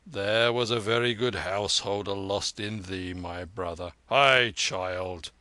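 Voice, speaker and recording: A man voicing an old, gruff and hearty veteran soldier with blunt, archaic speech in a storybook reading. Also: lowish voice, steady tone, slow, bright, forceful tone, dry recording. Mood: teasing.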